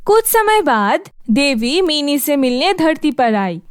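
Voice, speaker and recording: A woman reads a children's story as narrator. Her voice is very high and she takes her time over the scripted lines. The sound is dry, with no room echo. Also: big pitch swings, slow.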